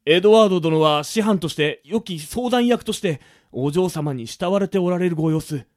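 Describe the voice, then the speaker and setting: An actor playing a stern, worried butler in a radio drama, speaking in stiff, archaic, very polite phrases with music behind him. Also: male voice, high-pitched, moderate pace, darkish tone, lightly acted. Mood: grave, worried. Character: formal, stern, worried elderly male butler. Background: background music.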